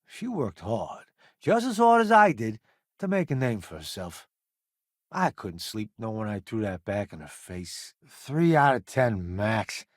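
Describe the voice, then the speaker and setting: A man's voice: on the low side, very animated, unhurried, no room sound, clean studio sound. A man playing a flamboyant, wisecracking celebrity food critic turned spaceship crewmate in a video game, glib, theatrical and sardonic. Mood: sincere, subdued. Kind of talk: video game character voice acting.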